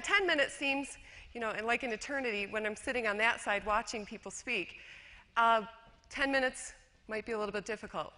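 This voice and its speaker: A woman's voice: a little high, pushed and bright. A woman educator from rural western New York giving a chatty, self-deprecating conference talk with slides, full of fillers and restarts. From rural western New York, USA. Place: lecture hall. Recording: long hall reverb.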